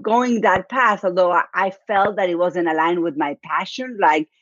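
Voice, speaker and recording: A woman's voice: fairly low pitch, moderate pace, no room sound. Woman, forties, Argentine accent, chatty storytelling, dull lo-fi dry sound. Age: 40s. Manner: warm storytelling with frequent fillers and laughs. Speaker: guest, business coach. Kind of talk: interview conversation.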